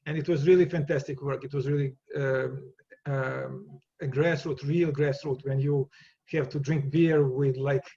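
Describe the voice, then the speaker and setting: Serbian-accented man, halting and lo-fi. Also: medium pitch, steady tone, gravelly, mellow. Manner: halting, many repeated words and restarts, laughs easily. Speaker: guest. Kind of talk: casual interview conversation.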